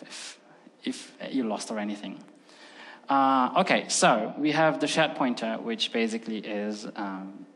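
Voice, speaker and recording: A middle-aged man whose first language is Russian, giving a casual, filler-heavy technical talk at a conference. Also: medium pitch. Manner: casual and chatty, many fillers like "like" and "um". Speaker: conference speaker. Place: large conference hall. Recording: long hall reverb.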